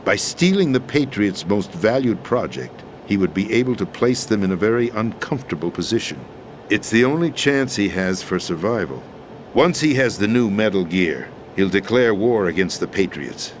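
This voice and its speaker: Man playing a grave, low-voiced deposed president. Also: animated, a little hiss or hum, natural voice. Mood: grave, deliberate. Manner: measured, formal, deliberate explaining with weighty pauses. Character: weary deposed president, grave, formal political schemer. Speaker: video game character in a cutscene. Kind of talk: dramatic expository video game acting.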